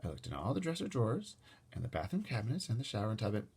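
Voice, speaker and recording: A man's voice: fairly low pitch, huge pitch swings, rapid-fire, creaky, a little mellow, husky, exaggerated voice. A man voicing a fretful, busy mother who scolds and fusses, for an audiobook. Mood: fussing. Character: busy mother, fussy and exasperated.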